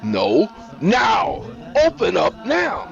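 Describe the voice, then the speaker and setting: A man playing a violent, threatening gang boss who bullies and shouts in an acted drama. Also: high-pitched, sing-song, a little slow, dull audio, faint music behind, pitched above the performer's own voice, heavily acted. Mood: menacing. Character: violent, menacing male gang boss, quick to rage.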